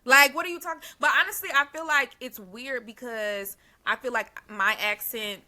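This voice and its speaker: A woman's voice: a little high, normal speed, sharp tone. A woman from Texas with a Southern accent, chatting casually on a podcast and laughing often. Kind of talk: casual chat.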